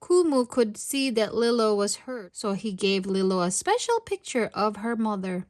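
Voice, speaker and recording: A woman's voice: medium pitch, expressive, normal speed, a little mellow. A woman hosting a children's read-aloud, narrating expressively and addressing young listeners directly. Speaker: storytime host and narrator.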